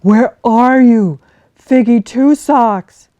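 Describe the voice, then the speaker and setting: A woman voicing a big brown male bear, speaking loud and slow in a children's storybook reading. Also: on the low side, dry recording, highs cut off. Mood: calling.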